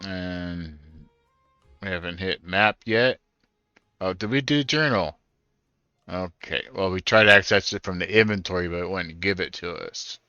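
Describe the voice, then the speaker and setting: A man casually narrates his playthrough, musing aloud as he goes. His voice is low and clear, recorded dry with game music behind it. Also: a little slow, pushed and bright, no echo, muffled recording. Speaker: gaming streamer. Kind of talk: casual first-time playthrough commentary.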